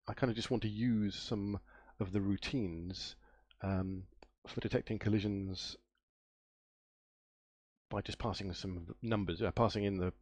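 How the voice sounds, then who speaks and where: A man's voice: lowish voice, fairly even intonation, a little slow, a little mellow, no room sound. A man with a nasal voice from a cold, live-streaming his game coding and thinking aloud, muttering and trailing off as he searches for things. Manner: muttering to himself, trailing off and spelling words out while searching.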